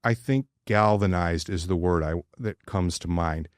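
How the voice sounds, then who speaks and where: Male sports podcast co-host, slightly low voice, blunt and casual, dry close sound. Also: unhurried, dry recording.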